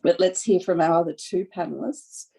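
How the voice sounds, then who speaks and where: A woman facilitating an online panel webinar, speaking in a measured way with some hesitations. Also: lowish voice, steady tone, vocal fry, mellow, breathy edge. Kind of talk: panel moderation. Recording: dull lo-fi audio.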